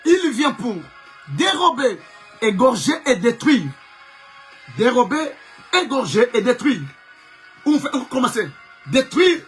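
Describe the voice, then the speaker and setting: A man preaching a sermon with a Congolese French accent, whose first language is Chokwe. He preaches fervently, often shouting and repeating phrases for emphasis. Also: extremely high pitch, big pitch swings, a little slow, long pauses, faint music behind. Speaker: pastor. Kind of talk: live-streamed preaching sermon.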